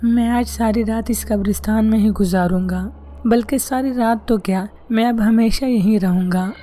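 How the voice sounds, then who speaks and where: Young cartoon boy, sulky and easily frightened, voiced by a woman, high and mellow. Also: normal speed, close to an everyday voice. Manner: stammering when scared. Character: sulky young boy who ran away.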